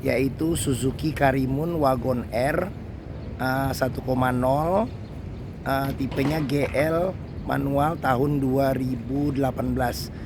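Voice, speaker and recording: Hoarse car dealer, noisy outdoors. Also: male voice, medium pitch, steady tone. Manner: slow, halting delivery with many fillers. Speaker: used-car dealer host. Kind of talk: walkaround car review with buying tips.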